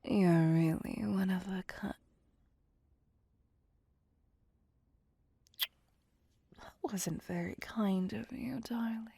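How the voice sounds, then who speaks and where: Woman playing a slow, breathy seductive vampire. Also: medium pitch, mellow, no echo, full character voice. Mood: amused, teasing. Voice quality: breathy, whispery. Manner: slow, teasing, flirtatious. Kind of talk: ASMR roleplay.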